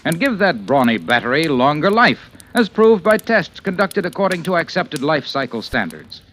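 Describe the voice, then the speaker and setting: A man's voice: a little high, sing-song, moderate pace, a bit bright. A man announcing a 1940s radio commercial in a punchy, polished salesman's delivery. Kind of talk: 1940s radio advertising pitch. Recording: noisy vintage radio broadcast.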